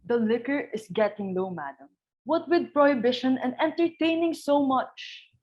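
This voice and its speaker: A woman's voice: high-pitched, expressive, moderate pace, darkish tone, muffled recording. A woman playing a formal, deferential household servant in a dramatic reading of a stage comedy. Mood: dutiful.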